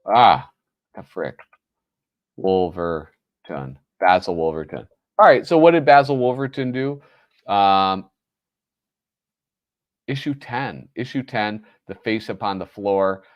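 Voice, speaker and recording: A man's voice: lowish voice, lively intonation, slow pace, pauses often, dry recording, lo-fi sound. A man with a nasal voice hosting a casual livestream chat, rambling and correcting himself with lots of fillers. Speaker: host.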